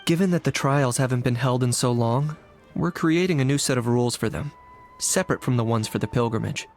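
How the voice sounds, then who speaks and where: A man playing a deadpan tribesman. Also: medium pitch, fairly quick, faint music behind, close to an everyday voice. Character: dry, deadpan tribesman offering a barbecue. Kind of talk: video game voice acting.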